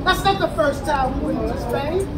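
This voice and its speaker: American man, high-pitched street preacher, emphatic call-and-response, recorded far off amid a crowd and traffic. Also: lively intonation, normal speed. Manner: emphatic, rising to shouts, asks the crowd to respond. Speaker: street teacher. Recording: echoey and distant, with crowd and traffic. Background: crowd responses and passing vehicles.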